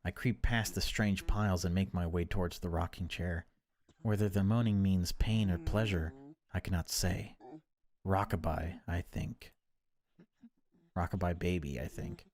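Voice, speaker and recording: A man reading horror game text aloud as dramatic narration, in deliberate, broken phrases. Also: sits low, steady tone, a little mellow. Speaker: gaming video creator reading a visual novel aloud.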